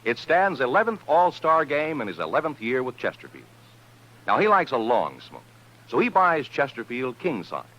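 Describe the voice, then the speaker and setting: A man's voice: mid-range voice, sing-song, moderate pace, fairly bright tone. A man delivering a 1950s radio advertisement with an emphatic, polished sales delivery. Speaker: commercial announcer. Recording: dull vintage radio recording.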